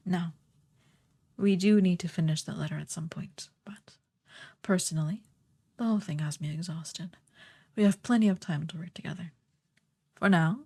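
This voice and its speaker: Woman playing a slow, breathy, haughty evil queen. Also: fairly low pitch, dark tone. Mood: softening, teasing. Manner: imperious, theatrically disdainful, drawn-out delivery. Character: haughty, sardonic evil sorceress queen dictating to a servant. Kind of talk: ASMR fantasy roleplay acting.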